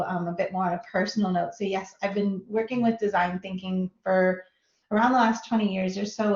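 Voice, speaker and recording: A woman's voice: medium pitch, echoey room, highs cut off. A woman from Minnesota with a Minnesota American accent, giving an upbeat, chatty guest lecture over a video call. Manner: chatty, upbeat, laughs often. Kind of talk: online lecture.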